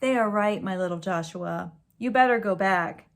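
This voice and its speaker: A woman voicing a kind male shepherd in a children's storybook, gentle but firm. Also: mid-range voice, normal speed, vocal fry, natural voice. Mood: tender firmness.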